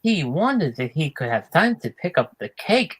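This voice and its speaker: A man's voice: a little high, big pitch swings, moderate pace, husky. A man reading a children's storybook aloud as a narrator. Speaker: storybook reader.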